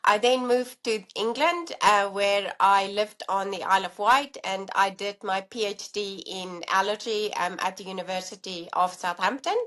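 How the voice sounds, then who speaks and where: A middle-aged woman with a South African accent speaks as a podcast guest. Her pitch sits in an ordinary middle range. She explains things in a friendly, unhurried way, often pausing on fillers. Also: fairly bright tone. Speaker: guest, allergy dietitian and professor. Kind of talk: podcast interview.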